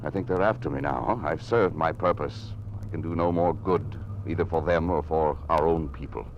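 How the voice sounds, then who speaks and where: An actor playing a grim, secretive governor in an old-time radio drama, speaking in a terse, commanding way over a noisy old recording. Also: male voice, extremely low pitch, normal speed, dull audio. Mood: urgent, secretive. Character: grim, secretive governor plotting his escape.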